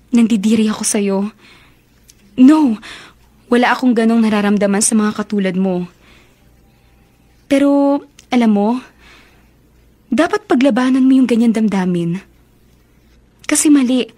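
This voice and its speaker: Radio drama daughter: young, high-pitched, hurt and emotional. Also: female voice, darkish tone. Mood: apologetic, earnest. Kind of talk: Filipino radio drama acting.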